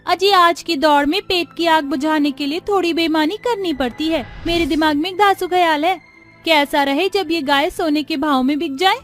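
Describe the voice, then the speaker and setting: A woman's voice: extremely high pitch, moderate pace, fairly bright tone, soft background music. A woman voicing a greedy, grumbling cowherd's wife in a folk tale, nagging in rustic, colloquial speech. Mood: scheming.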